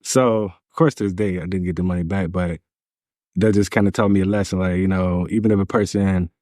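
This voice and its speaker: Hesitant low-voiced man in his forties. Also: fairly even intonation, fairly quick, no room sound, studio quality. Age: 40s. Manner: soft, hesitant, many fillers. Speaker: vlogger telling his own story. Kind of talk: confessional vlog.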